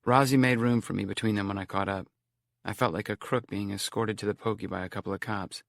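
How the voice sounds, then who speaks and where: Male narrator, low gravelly voice, dry close recording. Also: steady tone, fast, dry recording. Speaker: audiobook narrator. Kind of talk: audiobook narration.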